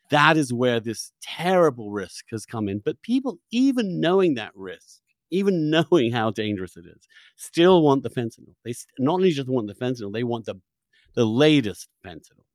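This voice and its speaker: Guy podcast host, middle-pitched voice, really dry close sound, earnest and kinda rambly, rewording as he goes. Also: no echo. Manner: earnest, discursive, frequently rephrasing. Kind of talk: long-form interview conversation.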